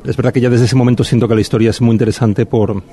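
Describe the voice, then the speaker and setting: A middle-aged man, a film director answering interview questions thoughtfully with frequent pauses and restarts. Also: medium pitch, fairly even intonation, warm tone. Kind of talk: festival press interview.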